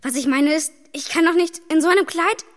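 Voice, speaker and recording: A woman's voice: very high-pitched, fairly quick, big-room sound. A woman playing a grumbling, plucky, self-conscious young boy who hunts ghosts in a children's radio play. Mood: indignant.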